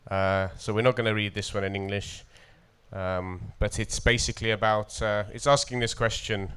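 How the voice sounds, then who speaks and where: A man from North Wales with a North Welsh accent, a poet introducing and reading poetry at a festival in a measured, deliberate way. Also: fairly low pitch, fairly even intonation, fairly bright tone, clear, resonant tone. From coastal North Wales.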